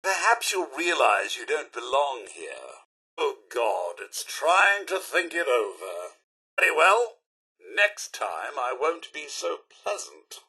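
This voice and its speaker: A man's voice: on the high side, very animated, sharp tone, breathy edge, heavily acted. A man voicing a polite, fussy, easily scandalised English robot butler in a video game, with prim, theatrical, deferential delivery and a British accent. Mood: disdainful. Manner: prim, theatrical, deferential but quick to disapprove. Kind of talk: scripted video game character lines.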